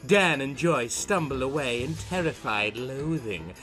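A man narrating a horror comic story in a deliberate, melodramatic scripted style. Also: medium pitch, expressive, pushed and bright, soft background music.

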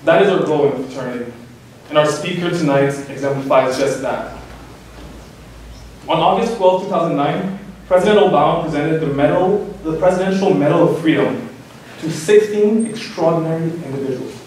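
A young man reads a prepared introduction at a podium, earnest and a little halting. His voice sits slightly high. He is heard from the back of a reverberant hall with faint noise. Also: darkish tone. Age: young adult. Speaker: student introducing the speaker. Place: large lecture hall, indoors. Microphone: podium mic, recorded by a far camera. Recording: echoey hall from far off.

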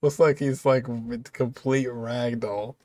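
Young man, mid-pitched voice, casual reaction commentary, loose conversational phrasing. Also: fairly even intonation, takes it slowly, warm tone, no echo. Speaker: reaction video host.

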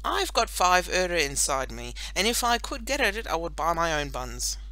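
A woman's voice: on the low side, big pitch swings, normal speed, pushed and bright, no room sound, poor audio. A woman voicing a clever, confident little boy in a storybook, eager and matter-of-fact. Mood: eager, bargaining.

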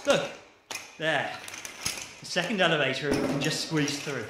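A man's voice: fairly high pitch, normal speed, very noisy, lightly acted. A man acting in a radio play as an exasperated, sarcastic ex-army geologist. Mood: brisk. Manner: sardonic, sweary, incredulous.

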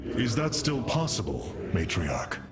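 An actor playing a noble, resolute alien military leader in a game cinematic, formal and commanding. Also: male voice, lowish voice, huge pitch swings, a little slow, heavy background noise. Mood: questioning. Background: loud dramatic music.

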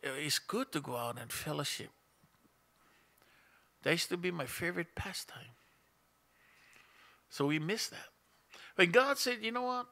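A man's voice: on the high side, animated, measured pace, long pauses, pushed and bright. A middle-aged man with a Hawaiian Pidgin-tinged accent, preaching conversationally from his seat in a small church room and asking the congregation questions. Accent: Hawaiian Pidgin-tinged English. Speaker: pastor. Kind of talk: sermon. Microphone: stand mic in front of him, seated.

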